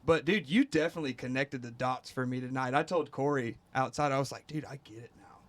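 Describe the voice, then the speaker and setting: A man's voice: medium pitch, fairly quick, no echo. A male podcast host talking casually, enthusiastic and swearing freely. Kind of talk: casual conversation.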